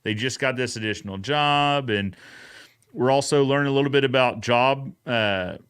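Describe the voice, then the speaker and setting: A man's voice: mid-range voice, moderate pace, a bit bright, clear, resonant tone. A man hosting a casual live recap show, talking in an agreeable, conversational way and often checking in with "right?". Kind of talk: casual live podcast chat.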